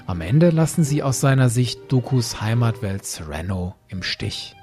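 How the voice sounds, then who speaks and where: A man hosting a podcast with scripted, explanatory narration in a husky voice. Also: mid-range voice, very animated, normal speed, warm tone, soft background music. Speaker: podcast host.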